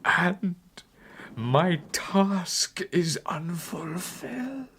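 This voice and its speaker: A woman voicing a dying, obsessed scientist in an audiobook drama, gasping, halting and urgent in a weak, tearful whisper. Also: on the low side, animated, fairly bright tone, a little hiss or hum, heavily acted. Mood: desperate, vengeful. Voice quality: breathy, whispering. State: weak, gasping, near tears.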